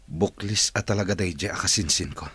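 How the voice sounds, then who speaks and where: A blunt boss in a radio drama, grumbling yet determined. The voice sits very low, with a husky rasp that gives every order some grit. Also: male voice, brisk, a bit bright, heavily acted. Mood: suspicious, irritated. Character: wheelchair-using male boss, grumbling but determined.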